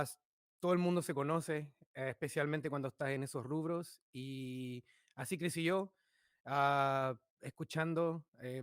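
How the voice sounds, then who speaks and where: A man's voice: fairly high pitch, smooth, no room sound. Chilean man, easygoing, dry close sound. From northern Chile. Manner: relaxed, searching, many filler sounds. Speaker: musician, guest. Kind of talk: conversational interview.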